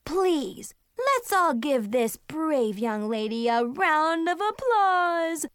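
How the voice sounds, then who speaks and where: A woman's voice: sits high, sing-song, slow, no room sound, clean studio sound, pitched above the performer's own voice, full character voice. A woman voicing a bratty, theatrical little girl who plays stage magician in a storybook, showy and prone to whining. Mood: grand, showy. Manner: showy announcing, whiny when thwarted.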